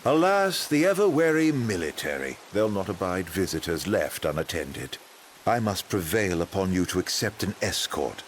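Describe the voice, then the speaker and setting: An actor playing a smug, scheming imperial schemer with theatrical mock courtesy in a video game. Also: male voice, low-pitched, sing-song, moderate pace, slight background noise. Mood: mock-courteous. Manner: theatrical, teasing, mock-polite. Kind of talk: dramatic video game cutscene acting. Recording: game soundtrack music behind.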